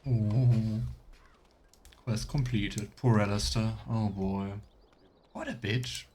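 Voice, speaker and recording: A man's voice: lowish voice, steady tone, takes it slowly, a little mellow, husky. A man doing casual let's play commentary as a game streamer, chatting and reading dialogue choices aloud.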